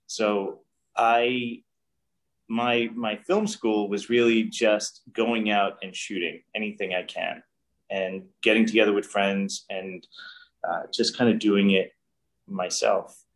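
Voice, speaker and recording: A middle-aged man speaks in a lowish, unhurried voice, reflecting earnestly and often restarting his sentences. He is talking from a room at home over a webcam call. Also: steady tone, rough recording. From New York. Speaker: guest director. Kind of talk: casual interview. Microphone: video call webcam.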